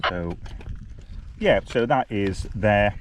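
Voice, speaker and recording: A man's voice: on the low side, lively intonation, slow. A man giving casual, conversational field-test commentary as he vlogs outdoors. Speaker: vlogger, hiking group leader. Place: outdoors on mountainsides. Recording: noisy outdoor recording with clicks.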